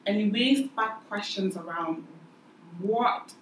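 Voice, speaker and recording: A woman's voice: medium pitch, takes it slowly. Cameroonian woman host in an echoey room. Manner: thoughtful, poses questions, many restarts. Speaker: podcast host. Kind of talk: casual discussion. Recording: echoey room, mic at a distance, faint noise.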